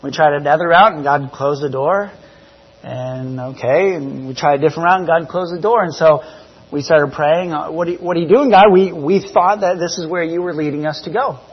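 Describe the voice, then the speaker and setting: A man in his thirties from New Zealand gives an informal church testimony, conversational and halting, often correcting himself. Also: on the high side, breathy edge, highs cut off. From grew up in New Zealand. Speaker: missionary giving a church presentation. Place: church, speaking to a congregation. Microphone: church PA microphone.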